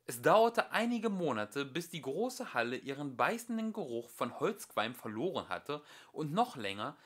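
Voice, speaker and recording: A man reading a storybook aloud as an amateur audiobook narrator, with expressive, theatrical emphasis. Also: mid-range voice.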